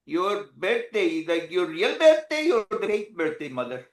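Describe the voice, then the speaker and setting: A man's voice: high voice, big pitch swings, unhurried. A man with an Indian accent playing a grown son who humors his vain mother, hesitant and stammering. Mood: dutiful. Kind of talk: improvised comedy scene. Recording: roomy echo.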